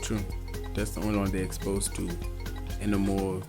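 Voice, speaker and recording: A man's voice: deep voice, monotone, moderate pace. A man co-hosting a casual chat, speaking in a colloquial, agreeable way. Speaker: co-host giving reactions. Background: clear music behind the voice.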